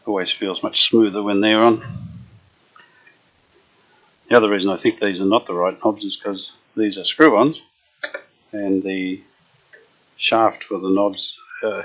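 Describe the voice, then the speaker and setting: A man restoring an old radio, chatting casually as he works, unhurried and full of fillers. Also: on the low side, fairly even intonation, fairly bright tone. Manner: unhurried, thinking aloud with many fillers. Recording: dull lo-fi workshop recording.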